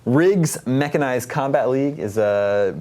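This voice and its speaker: A man's voice: medium pitch, unhurried, darkish tone. A man talking casually about video games as a panel show host. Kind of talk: casual video game discussion.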